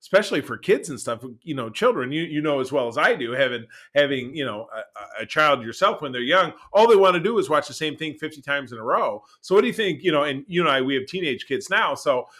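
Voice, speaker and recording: A man's voice: a little high, lively intonation, quick pace, hardly any pauses, pushed and bright. A middle-aged man co-hosting a podcast, chatting casually in a rambling, emphatic way. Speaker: podcast co-host. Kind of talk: casual conversational podcast chat.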